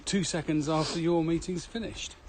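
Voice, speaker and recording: Man chatting over clear background noise. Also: a little high, steady tone, moderate pace. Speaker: podcast co-host and trainer. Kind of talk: casual walking podcast chat. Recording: noisy walking recording with ambient sound.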